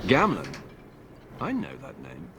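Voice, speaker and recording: A stern, weary guard captain who will not budge in a video game. His voice is medium in pitch, with clear background noise. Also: wildly swooping pitch, a little slow, heavily acted. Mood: skeptical.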